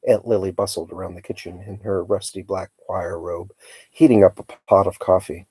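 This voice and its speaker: A man hosting a live storytime, reading a children's novel aloud in a calm, unhurried, hesitant manner. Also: deep voice, husky, dry recording.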